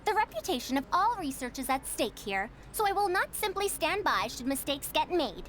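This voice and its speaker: A woman's voice: high voice, big pitch swings, normal speed, a bit bright, a little hiss or hum. A woman voicing a haughty senior female scholar in a video game, prim and self-important, with lofty phrasing. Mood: stern.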